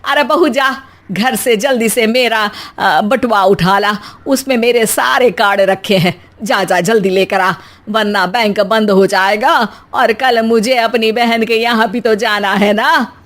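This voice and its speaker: A woman's voice: a little high, a little slow, few pauses, fairly bright tone. A woman voicing a grumbling, sarcastic middle-aged mother-in-law in a comic family story. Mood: bossy, hurried. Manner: exasperated, sarcastic.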